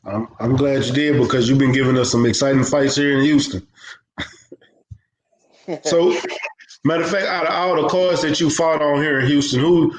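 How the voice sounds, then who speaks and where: A man hosting an interview show, asking questions in a relaxed, casual way with fillers. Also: on the high side, moderate pace, long pauses, roomy sound, low-quality recording. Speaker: host.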